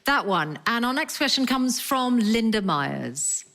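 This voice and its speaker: A woman's voice: a little high, sing-song, measured pace, sharp tone. A British woman moderating a broadcast debate, firm and ready to interject. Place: radio theatre stage.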